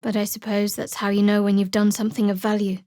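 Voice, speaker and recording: A woman voicing a guarded, wry young female cleric of a dark goddess in a video game, speaking in a measured, dry way on a close mic. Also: mid-range voice, little pitch movement, dry recording, lightly acted. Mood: resigned. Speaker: fantasy adventurer companion. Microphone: close, dry studio mic.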